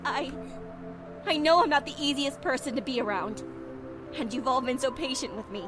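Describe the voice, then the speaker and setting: Woman acting a high-voiced, upset teenage girl. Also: lightly acted. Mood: remorseful, upset. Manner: halting, apologetic. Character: distressed, guilt-ridden teenage girl, proud but vulnerable. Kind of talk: visual novel voice acting. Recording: music behind the voice.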